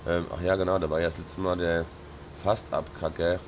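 Hoarse, deep-voiced German gamer with a cold. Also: male voice, flat delivery, fairly quick, a little hiss or hum. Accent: Rhine-Hessian German dialect. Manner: mumbling, many ums and uhs, talks to the game. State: hoarse with a sore throat and blocked nose. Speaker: let's play host. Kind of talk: casual gameplay commentary.

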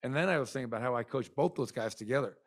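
A man's voice: mid-range voice, racing. Middle-aged man, basketball coach, press conference, rambling with fillers, candid, desk mic. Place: arena press room. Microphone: desk mic in front.

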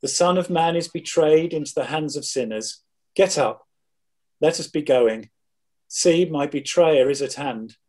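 A man reads as a solemn teacher in a dramatized scripture reading. His voice sits somewhat high for a man. He declaims each line in a measured way. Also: darkish tone. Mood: reproachful. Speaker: scripture reader.

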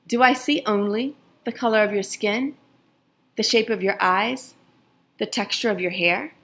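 A woman teacher reading a picture book aloud to children, gently addressing her young listeners and asking them questions. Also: measured pace, slight background noise. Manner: expressive, lively pitch swings on questions.

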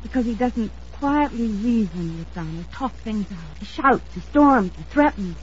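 A woman's voice: medium pitch, lively intonation, unhurried, lightly acted. An actress playing an anxious, earnest young woman in an old-time radio drama, with dramatic, hesitant delivery. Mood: urgent, worried. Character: earnest, anxious young woman, defensive about her sweetheart. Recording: dull old radio recording.

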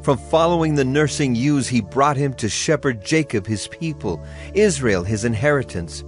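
A man reads scripture for a dramatized audio Bible. His voice sits at a middle pitch and his delivery is solemn and declamatory. Music plays softly behind him. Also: expressive, moderate pace. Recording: music under the voice.

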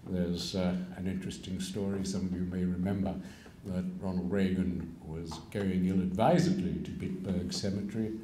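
An older man giving a keynote lecture from a lectern, measured and pausing, with wry asides. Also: low-pitched, dark tone, a little hiss or hum. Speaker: keynote speaker. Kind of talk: public lecture. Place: stage of a large hall. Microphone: stand mic at a lectern. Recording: echoey hall sound.

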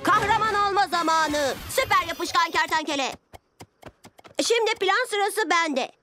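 High-pitched plucky child superhero. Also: female voice, lively intonation, measured pace, sharp tone, full character voice. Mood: determined. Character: plucky six-year-old child superhero, friendly and eager. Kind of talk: animated children's cartoon dub. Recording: cartoon music behind.

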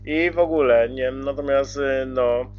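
A man's voice: medium pitch, fairly even intonation, measured pace, faint music behind. A man chatting courteously and casually as a radio operator, using radio jargon. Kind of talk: casual radio contact chat. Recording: dull lo-fi CB radio audio.

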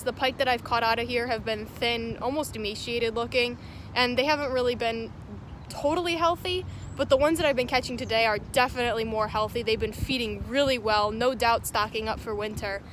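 A woman in her twenties giving excited live commentary while fishing at a creek, gushing with exclamations, recorded on an action camera outdoors. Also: on the high side. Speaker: fishing vlogger. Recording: outdoor camera mic with water noise. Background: flowing water.

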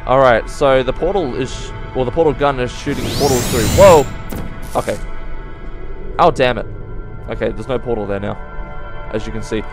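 Young male gamer, slightly hoarse dry voice, excitable, sudden yelps, mid pitch, game music playing behind. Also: moderate pace, pauses often. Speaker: let's play gamer. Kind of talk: casual gameplay commentary.

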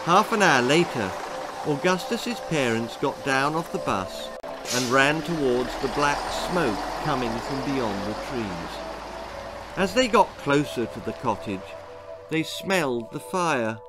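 A man in his sixties with a British accent, reading a spooky comic children's story in a measured, dramatic way. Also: mid-range voice, lively intonation, poor audio. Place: small book-lined room indoors. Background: music.

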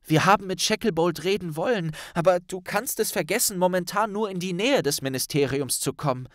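A man's voice: high voice, a little fast, a bit bright, no room sound, studio quality, voice pushed up. A man voicing an earnest, worried young witch in an audiobook, serious and urgent in manner. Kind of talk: audiobook character dialogue.

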